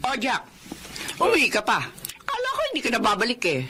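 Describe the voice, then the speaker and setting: An actress playing a domineering middle-aged Filipino mother-in-law with a sharp tongue in a radio drama. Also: a little high, huge pitch swings, a little fast, noisy background. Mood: grudging. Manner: scolding, theatrical.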